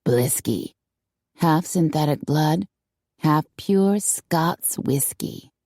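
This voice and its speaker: Audiobook casting: woman performer as tough vampire woman, low and growly, dry wit, blunt, mellow tone. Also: expressive, extremely slow, no room sound, exaggerated voice. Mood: wry. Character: tough, sardonic female vampire, hard-drinking and scornful of men. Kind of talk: audiobook character acting.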